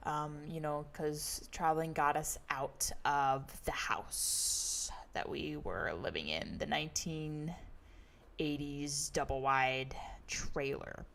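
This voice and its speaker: Young American woman host, relaxed and chatty, slow easygoing delivery full of "um"s, low-ish voice. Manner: rambling, many fillers and laughs, relaxed storytelling. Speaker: livestream travel vlogger host. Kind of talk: casual chatty livestream monologue.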